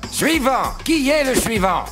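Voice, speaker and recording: A man's voice: very high voice, very animated, a little slow, music in the background, full character voice. A man playing a pompous, foolish vizier in a children's cartoon, self-assured yet often hesitating. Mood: bossy. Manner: pompous, hesitant, throat-clearing. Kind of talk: animated children's cartoon acting.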